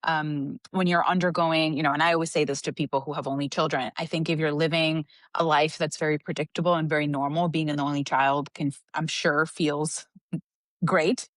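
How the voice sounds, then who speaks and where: Female podcast guest from Cuba, low voice, thoughtful and lively, dry close recording. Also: moderate pace, no room sound. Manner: animated, reflective, laughs often. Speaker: guest, organizational psychologist. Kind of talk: casual interview talk.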